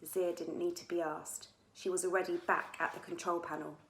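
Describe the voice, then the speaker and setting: A woman reading a children's story aloud as its author, in an expressive storytelling manner. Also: lowish voice, moderate pace, slight background noise. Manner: expressive, with dramatic character dialogue. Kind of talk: scripted children's story narration. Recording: echoey room sound.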